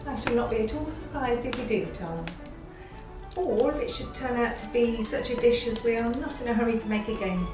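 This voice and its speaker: An actress playing a sweet, eager young Victorian sister with a playful indignant streak and genteel phrasing in a literary drama. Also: female voice, a little high, a little fast, natural voice. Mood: eager, anxious. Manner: animated, genteel, old-fashioned phrasing. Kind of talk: stage-style literary radio drama acting. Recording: very echoey, distant, music behind.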